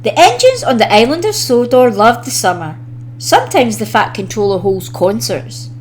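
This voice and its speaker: A woman reading a children's story aloud as an expressive storyteller. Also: on the high side, moderate pace, a little hiss or hum.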